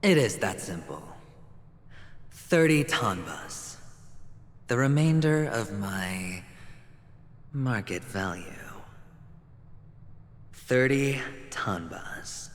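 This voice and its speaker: An actor playing a self-assured young male gambler in a game cutscene, speaking in a wry, measured way. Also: lowish voice, expressive, in a large hall. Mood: defiant.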